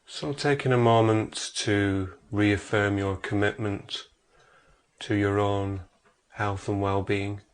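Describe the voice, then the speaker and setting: Guy doing guided meditation, lowish voice, really slow and measured, lots of long pauses. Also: fairly even intonation, clean tone.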